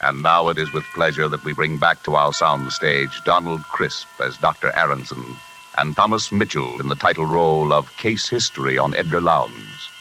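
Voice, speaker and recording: A man's voice: a bass voice, moderate pace, pushed and bright, poor audio. A man announcing a 1940s-style radio drama in a grave, portentous manner. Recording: vintage radio with music behind.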